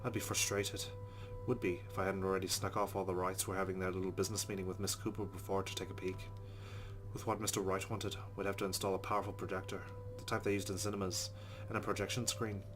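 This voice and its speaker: Low-voiced man reading game narration. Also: level tone, fast talker, over music. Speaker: let's play host. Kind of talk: reading visual novel narration aloud.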